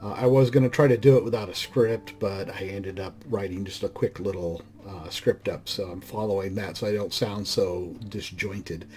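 A hoarse man hosting his own mod channel, talking through a screen-recorded software tutorial in a loose, conversational way full of "uh" and restarts. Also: low voice, steady tone, moderate pace, hardly any pauses, soft background music. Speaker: mod review channel host.

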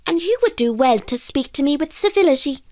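Woman doing a tiny high fairy man. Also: lively intonation, a little mellow, dry recording, studio quality. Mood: haughty, bargaining. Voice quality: little voice. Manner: formal, rhyming, deliberate. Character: little male fairy, proud, courteous, crafty bargainer.

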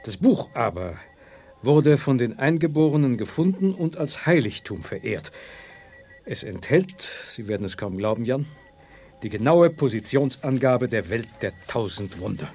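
Scientist professor, calm, explanatory, medium-pitched, sci-fi radio drama, faint music. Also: male voice, slightly rough. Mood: explaining. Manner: measured, explanatory. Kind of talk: 1980s children's sci-fi radio play.

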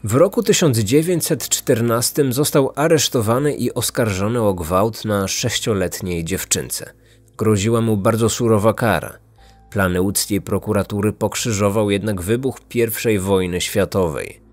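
A man's voice: lowish voice, normal speed, no room sound, soft background music. A man reading a scripted true-crime story as a podcast narrator.

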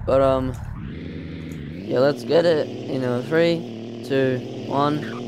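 A man's voice: mid-range voice, slow, vocal fry, very noisy. A man giving casual, off-the-cuff commentary as a gaming video host, in a hesitant, rambling way. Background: car engine sounds from a driving game.

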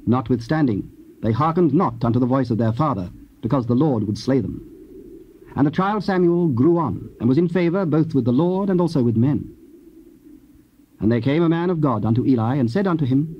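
A man narrates scripture in a formal, measured way, his pitch in the middle range. The sound is a dull old cassette with faint hiss. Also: lively intonation.

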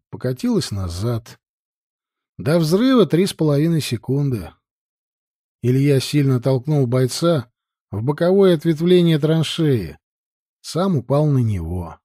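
A man reads an action novel as a scripted narration. His voice is mellow and moves animatedly in pitch, with measured, dramatic phrasing. The recording is dry and close, free of room sound. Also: slightly rough, no echo. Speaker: audiobook narrator.

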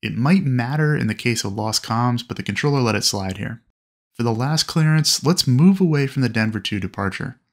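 A man narrating instruction in a clear, explanatory way. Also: medium pitch, expressive, moderate pace.

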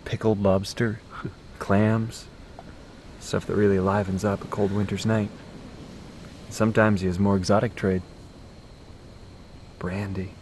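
A man's voice: on the low side, a little mellow, slight background noise. A man playing an earnest, intense young man in an audio drama, solemn and persuasive as he rallies his companions. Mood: reflective.

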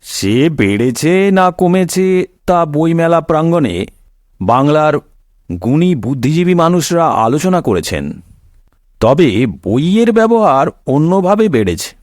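A man's voice: on the high side, sing-song, slow pace, a little mellow, no echo, studio quality. A man narrating a scripted comic cartoon story in a wry, theatrical way. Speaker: storyteller host.

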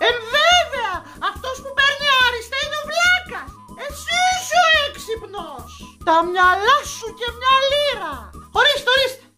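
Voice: very high-pitched, animated, few pauses, loud music behind the voice. A performer voicing a nagging, melodramatic Greek mother in a comic recital, exasperated and full of theatrical guilt-tripping. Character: Voulitsa, shrill melodramatic scolding Greek mother character. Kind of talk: comic recital of stock parental catchphrases.

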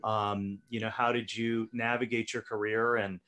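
A man interviewing guests over a video call, casual and relaxed, with many fillers. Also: on the low side, normal speed. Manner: relaxed, filler-heavy moderating.

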